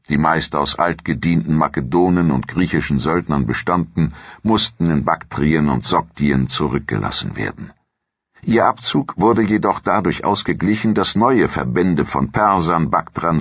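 A man reading a scripted historical documentary in a measured, dramatic storytelling manner. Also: a bass voice, a bit bright, husky, dry recording, dull audio. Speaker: narrator.

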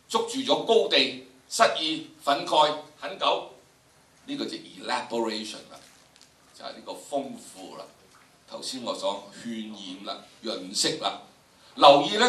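A man's voice: medium pitch, fairly bright tone, recorded from a distance. Older Hong Kong man lecturing, echoey hall. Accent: Hong Kong Cantonese. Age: older, about 70s. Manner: theatrical, acting out examples. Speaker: drama teacher, workshop instructor. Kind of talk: animated lecture to students. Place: large lecture hall with student audience. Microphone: handheld microphone through room speakers, recorded from the audience.

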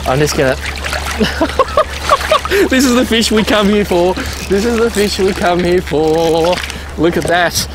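Excitable fishing-show host. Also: male voice, high voice, slow, very noisy. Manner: excitable, enthusiastic, laughs loudly. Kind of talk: outdoor fishing show chat. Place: outdoors by a lake. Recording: music bed under outdoor voice.

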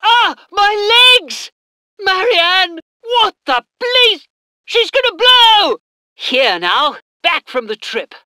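An eager British train crew soldier from a war strategy game, reports in clipped military phrases. The voice is high and forceful, swinging widely in pitch. It sounds dutiful and keen to serve. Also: female voice, sing-song, takes it slowly, no echo, clean studio sound, exaggerated voice. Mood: alarmed. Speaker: game voice actor.

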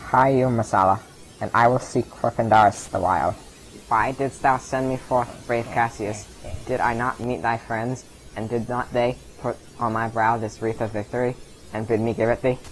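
A man's voice: mid-range voice, steady tone, moderate pace, natural voice. Man acting a grieving Roman officer, noisy recording. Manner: declamatory verse delivery. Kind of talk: old-time radio Shakespeare acting.

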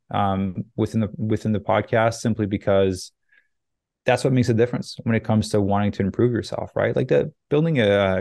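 Young male fitness coach, podcast guest. Low, gravelly fry, candid and easygoing, dry close-miked sound. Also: warm tone, no echo. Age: young adult. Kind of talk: casual interview talk. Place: indoor home office, video call. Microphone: close desk microphone.

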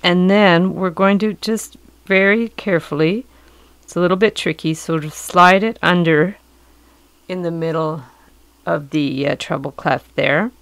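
Hoarse middle-aged woman teaching a craft. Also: fairly low pitch, unhurried. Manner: calm, patient, explanatory. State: hoarse from a cold. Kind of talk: step-by-step craft instruction. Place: indoors at a work table.

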